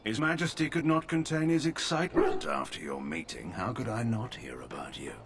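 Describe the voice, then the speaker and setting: An actor playing a stern, proud older army general in a video game, speaking in a clipped, dismissive, formal way. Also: male voice, mid-range voice, expressive, brisk, very noisy, natural voice.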